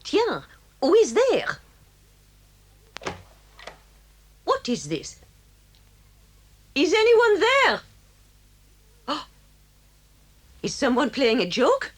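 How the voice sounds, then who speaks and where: A woman playing an excitable, indignant schoolmistress with a French accent and theatrical, exclamatory delivery in an audio drama. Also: sits high, very slow, long pauses, full character voice. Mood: shocked, bewildered.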